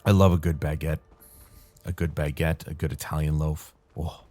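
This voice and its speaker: Very deep-voiced man, calm rambling gamer. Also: moderate pace, soft background music. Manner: calm, soothing, rambling delivery with laughs. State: dry, tired throat. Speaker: gaming channel host. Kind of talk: casual rambling commentary over gameplay.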